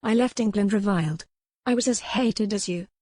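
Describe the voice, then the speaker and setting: A woman's voice: medium pitch, moderate pace, mellow, no echo, clean studio sound, natural voice. A woman voicing a proud, defiant young noblewoman in an audiobook, with formal, insistent phrasing. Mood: defiant, demanding. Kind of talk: dramatic audiobook character reading.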